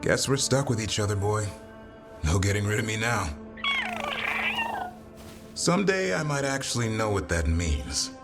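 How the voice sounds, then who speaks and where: A man's voice: lowish voice, lively intonation, unhurried, long pauses, music in the background. An actor voicing a wry monster-hunting warrior in a video game, a man searching for purpose. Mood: easygoing.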